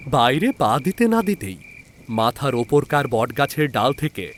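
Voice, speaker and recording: A man narrating a scripted horror story in a dramatic, measured storyteller's manner with deliberate pauses. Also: medium pitch, big pitch swings, slight background noise. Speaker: story narrator and channel host.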